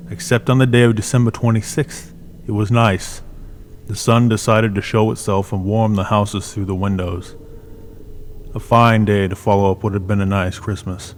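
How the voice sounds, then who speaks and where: A man tells the story in a slightly low, measured and solemn voice. It is scripted radio drama narration, with a faint hiss running underneath.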